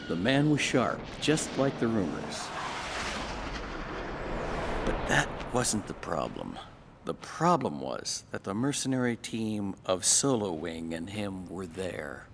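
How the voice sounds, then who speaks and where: Male game role: sardonic killer-for-hire pilot, low and gravelly, swinging delivery, some background noise. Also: very animated, slow pace, full character voice. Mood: wry, bitter. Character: cynical fugitive ex-air force assassin pilot. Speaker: former fighter pilot interviewed. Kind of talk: dramatic documentary-style interview acting.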